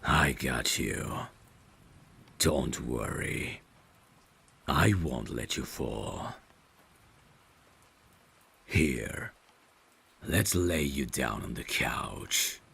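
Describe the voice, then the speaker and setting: A man playing a devoted, courteous vampire butler in an ASMR audio drama, speaking formally and gently in a hushed, gravelly voice that slips toward whispers. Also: extremely low pitch, animated, full character voice. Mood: caring, soothing. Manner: slow, formal, gentle, deferential.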